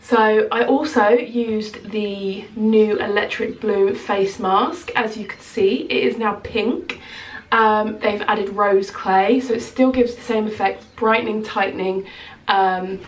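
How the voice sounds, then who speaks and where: A woman's voice: mid-range voice, steady tone, slow. A woman in her thirties chatting to camera in a casual vlog, sounding slightly nasal from a cold. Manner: chatty, gestures with hands while talking. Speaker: skincare consultant vlogger. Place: small bedroom at home, indoors. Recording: roomy echo, slightly distant mic.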